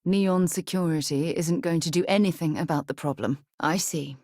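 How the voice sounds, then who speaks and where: A woman's voice: low-pitched, moderate pace, warm tone, dry recording, clean studio sound. An actress playing an earnest, principled woman space explorer in a video game, speaking in a composed, articulate, rather formal way. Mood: earnest, reflective.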